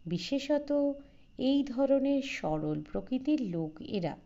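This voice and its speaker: A woman narrates a literary story at a slow, measured pace. Her voice is mellow and mid-pitched, with expressive phrasing. The recording is slightly dull. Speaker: audiobook narrator.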